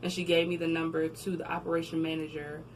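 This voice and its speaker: A young woman from New Jersey, a guest in a casual interview, telling her story with animated quoting and frequent laughter. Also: sits low, quick pace. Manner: animated storytelling, laughs often, acts out quotes. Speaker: guest, record label marketing admin. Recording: distant mic with background noise.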